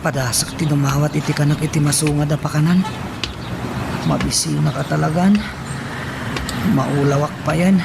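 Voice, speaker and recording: A gravelly radio drama voice from an actor as a desperate, penniless wanderer, frightened and begging to eat. Loud street noise surrounds the anguished pleading. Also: mid-range voice, steady tone, normal speed, long pauses, close to an everyday voice. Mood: hungry, afraid. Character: frightened, hungry, penniless lost person begging for food.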